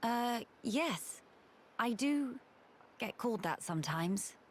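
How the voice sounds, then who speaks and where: A woman playing a composed young crown princess in a video game, speaking with formal, measured dignity. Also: a little high, expressive, slight background noise. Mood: earnest, reserved. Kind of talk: video game cutscene acting.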